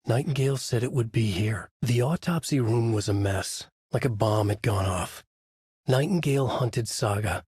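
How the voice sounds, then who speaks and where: An actor playing a brooding, haunted male writer, narrating his dark story in a measured, dramatic, intense way with a husky voice. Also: medium pitch, no room sound. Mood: grave, suspenseful. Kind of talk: scripted first-person noir narration.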